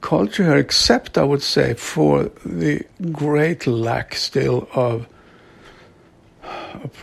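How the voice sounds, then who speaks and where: A middle-aged man from Sweden, an author and devotee, talking reflectively in a slow, halting way with frequent soft laughs. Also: on the high side, vocal fry, slightly rough. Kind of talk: reflective monologue.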